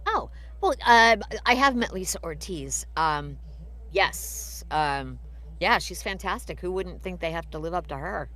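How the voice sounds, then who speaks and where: A woman, a voice actress, answering fan questions on a live panel, pausing with frequent ums before she speaks. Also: on the low side, animated, fairly bright tone.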